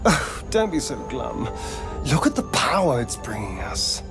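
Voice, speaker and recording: An actor playing a suave, sardonic vampire rogue in a video game, with an arch, theatrical drawl. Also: male voice, medium pitch, sing-song, measured pace. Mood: smug, teasing. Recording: music playing behind the voice.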